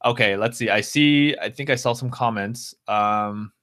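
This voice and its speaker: Brief: American man, live stream host, bright forceful voice, mid pitch, casual and rambling. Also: normal speed. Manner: talks straight to viewers, reads out and answers chat comments; loose, rambling, full of restarts and fillers.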